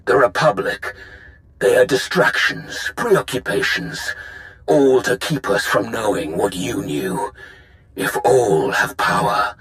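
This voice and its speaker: An actor playing a brooding rebel Sith lord in a video game, orating gravely about power with a gravelly voice. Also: male voice, on the low side, a bit bright, heavily acted, poor audio. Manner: slow, grave, oratorical. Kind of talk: dramatic video game monologue.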